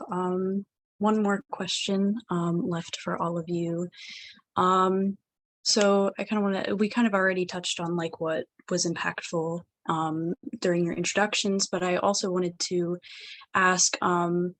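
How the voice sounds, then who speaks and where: A woman moderating a panel discussion, asking her question casually with some fillers. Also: on the low side.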